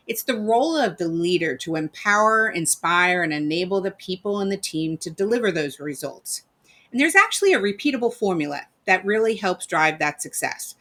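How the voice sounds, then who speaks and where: A woman's voice: lowish voice, sharp tone. A woman hosting a live online leadership interview series, giving an upbeat rehearsed introduction. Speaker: host.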